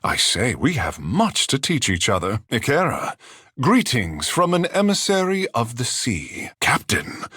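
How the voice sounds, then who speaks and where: Close, dry sound with a very animated, swooping voice. a vain young fish-man from a fantasy video game, slightly husky at a middle pitch. He speaks in grand, formal phrases with theatrical charm and a teasing streak. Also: no room sound, heavily acted. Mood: wry, amused. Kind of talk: video game character dialogue.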